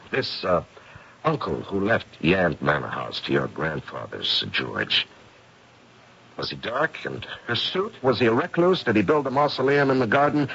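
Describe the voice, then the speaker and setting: An actor playing a confident, gray-haired paranormal investigator in an old-time radio mystery, explaining in a deliberate, theatrical way. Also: male voice, fairly low pitch, gravelly, fairly bright tone, exaggerated voice. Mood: pressing. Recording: muffled, noisy radio drama recording.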